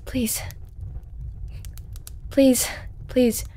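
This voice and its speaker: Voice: sits high, level tone, drawn-out words. Someone voicing a shy, ageless hooded storyteller man. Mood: panicked. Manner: hesitant and stammering, many ums and restarts; soft-spoken, often whispering. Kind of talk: ASMR audio roleplay.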